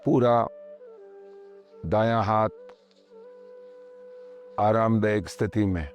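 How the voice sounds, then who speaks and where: Male meditation guide, lower register, very slow calm delivery in short spaced phrases, music underneath. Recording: voice over background music.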